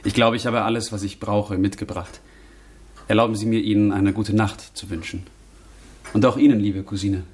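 A young man playing a polite, dandyish gentleman in a radio drama, courteous, suave and lightly mocking. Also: on the low side, steady tone, moderate pace, husky. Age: young adult. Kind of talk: German radio drama acting.

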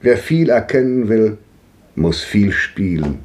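A man's voice: lowish voice, lively intonation, takes it slowly, a little mellow. An actor playing a worldly, sceptical old man in a 1950s German radio drama, speaking in an urbane, aphoristic way. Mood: amused, resigned. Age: older. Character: worldly, sceptical old man gently mocking his friend.

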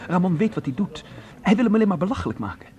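A man's voice: sits high, sing-song, racing, darkish tone. An actor playing an earnest, insistent young man, suspicious and protective, in a radio drama. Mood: reassuring.

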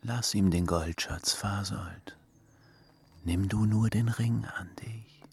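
Ein Schauspieler spielt in einem Fantasy-Hörspiel einen listigen, kühlen Berater mit einschmeichelnd gedämpfter Art. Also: male voice, fairly low pitch, slow, creaky, darkish tone, a little hiss or hum. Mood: conspiratorial. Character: sly, cool-headed scheming advisor. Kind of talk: fantasy radio play acting.

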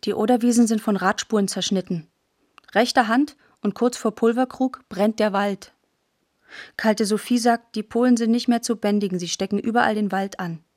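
Woman narrator, mid pitch, reading lit prose for radio, terse and plain. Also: fairly even intonation, normal speed, a little mellow. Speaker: narrator in a radio literary feature. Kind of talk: scripted literary reading.

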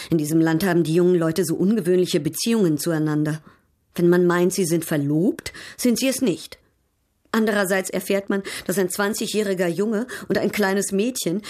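Low-voiced, haughty baroness, played by a woman. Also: a little mellow, natural voice. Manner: formal, poised, disdainful. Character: worldly, haughty European baroness, coolly ironic and flirtatious. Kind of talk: German radio drama acting.

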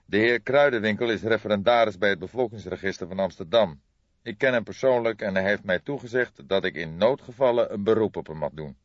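A man voicing an old, grey-haired police detective, patient and measured, in an audiobook. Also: on the low side, no echo, pitched above the performer's own voice, close to an everyday voice. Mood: firm, instructing. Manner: measured, thoughtful, hesitant hums. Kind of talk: audiobook character dialogue. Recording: dull lo-fi recording.

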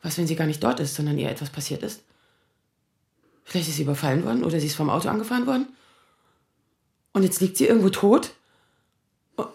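A woman's voice: medium pitch, gravelly, darkish tone, echoey room, close to an everyday voice. An actress playing a distraught woman in her thirties in an audio drama, speaking in anxious, stammering bursts. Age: 30s. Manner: halting, stammering. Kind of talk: naturalistic audio drama acting.

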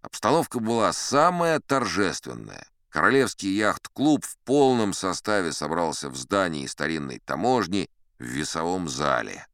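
Deep, lively-voiced man spinning a yarn. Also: moderate pace, few pauses, clear, resonant tone, dry recording, studio quality. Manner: expressive storyteller, ironic, confiding asides. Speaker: narrator and lead actor. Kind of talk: audio drama narration as a boastful old sea captain. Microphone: close studio mic.

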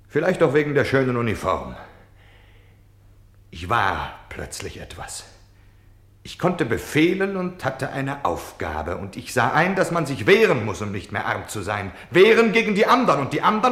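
A man's voice: mid-range voice, very animated, moderate pace, fairly bright tone, roomy sound. A man playing a defensive, self-pitying Nazi official on wartime leave in a German radio play, justifying himself between subdued confession and indignant outbursts. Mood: confessional, self-pitying.

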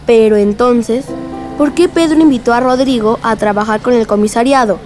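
High-voiced, curious young girl, acted by a woman. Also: moderate pace, faint music behind, lightly acted. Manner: expressive, inquisitive. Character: curious, cheeky young girl questioning her aunt. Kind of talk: radio drama dialogue.

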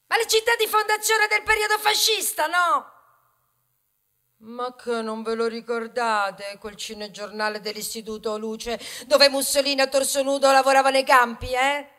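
A woman's voice: high-pitched, sharp tone. A woman playing a talking male grain of wheat, a chatty, sheepish storyteller who quizzes listeners in a theatrical radio ballad. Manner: conversational, hesitant, addressing listeners with rhetorical questions.

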